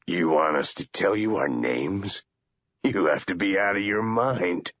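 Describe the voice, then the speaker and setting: A man voicing a sardonic male agent who talks mildly but with quiet threat. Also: low-pitched, animated, measured pace, no room sound, full character voice. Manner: mild on the surface, quietly threatening. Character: menacing, sardonic male "bad cop" agent. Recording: dull, lo-fi audiobook recording.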